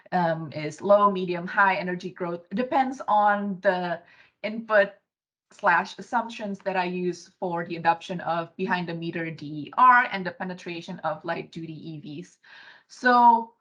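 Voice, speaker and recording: A woman from Indonesia in her thirties, a graduate student intern giving a research presentation on a video call, soft-spoken with many fillers and restarts. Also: fairly low pitch. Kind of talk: virtual poster presentation. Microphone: computer mic on a video call. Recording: dull lo-fi video call audio.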